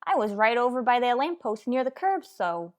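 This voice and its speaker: A woman plays a nervous young newsboy in an old-time radio crime drama. The voice is pitched up high, eager and flustered, chattering in folksy street slang. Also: very animated, normal speed.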